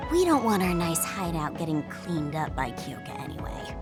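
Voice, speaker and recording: A woman's voice: on the low side, big pitch swings, normal speed, pitched below the performer's own voice. A woman voicing a lazy, teasing young woman in a video game, laid-back and dry. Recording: music under the dialogue.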